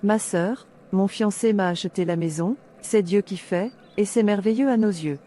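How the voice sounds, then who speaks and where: A woman voicing a pious, calm young woman in a cartoon drama, preachy and stilted. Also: mid-range voice, normal speed, lightly acted. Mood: friendly.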